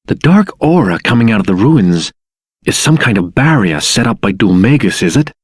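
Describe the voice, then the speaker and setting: An actor playing a wary, earnest, thoughtful adventurer in a video game, with a husky voice. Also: male voice, lowish voice, very animated, normal speed, no echo, clean studio sound. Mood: pondering. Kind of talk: video game character acting.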